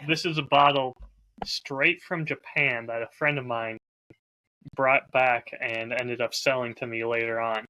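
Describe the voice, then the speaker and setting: A man's voice: mid-range voice, steady tone, measured pace, bright, forceful tone, no room sound, lo-fi sound. A man talking casually as a host, showing off a bottle while recording on a close lav mic. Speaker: podcast host and whiskey audio drama creator.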